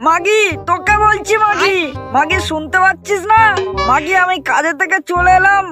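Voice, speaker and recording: Shrill, scolding old cartoon husband, done by a man. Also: steady tone, normal speed. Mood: angry scolding. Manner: bitter, scolding, repetitive swearing. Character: angry, nagging, foul-mouthed older cartoon husband. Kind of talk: comic cartoon dialogue. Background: loud music.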